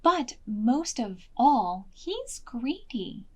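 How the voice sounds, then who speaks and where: A brave, clever storybook girl, read by a woman. She speaks in a raised pitch, slowly and emphatically, sure of herself. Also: big pitch swings, exaggerated voice. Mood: emphatic. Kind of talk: storybook character voice.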